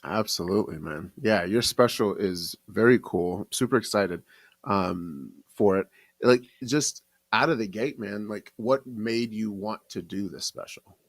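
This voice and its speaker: A man co-hosting a comedy podcast, relaxed and quick with short affirmations. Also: on the low side, no echo. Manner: laid-back, quick affirmations. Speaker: podcast co-host. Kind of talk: casual podcast chat.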